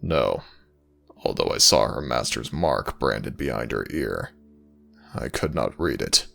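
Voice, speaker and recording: A guarded god of the dead for an audio roleplay. His voice is extremely low and crackles with gravel, with a forceful edge. He speaks in measured, formal phrases, grave and terse. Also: lively intonation, soft background music, exaggerated voice. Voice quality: deep, gravelly growl.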